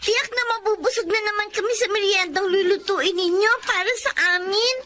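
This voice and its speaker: An actress playing an eager, respectful young library helper in a Filipino radio drama. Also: female voice, very high voice, fairly quick, background noise. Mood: eager, cheerful. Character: Aisa, eager polite young child helper at village library.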